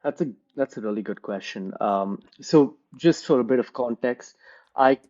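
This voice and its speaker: Male coach guest, mellow voice, steady explanatory delivery, slightly muffled audio. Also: mid-range voice, moderate pace. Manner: calm, fluent, explanatory. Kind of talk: reflective interview answers.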